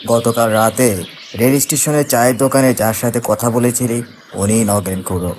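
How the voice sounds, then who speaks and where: A man's voice: mid-range voice, fairly even intonation, brisk, darkish tone, a little hiss or hum, natural voice. A man voicing a concerned male village friend in a horror cartoon, speaking in a familiar, explanatory manner. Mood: explaining. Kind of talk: Bengali horror cartoon voice acting.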